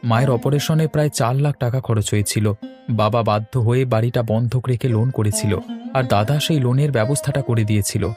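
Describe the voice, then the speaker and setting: Young cartoon husband, played by a man, male, earnest and troubled, average pitch, light music bed. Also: a little fast, a little mellow, close to an everyday voice. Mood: worried, explanatory. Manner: earnest, explanatory. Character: worried, newly married young son explaining family troubles. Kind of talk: cartoon dialogue.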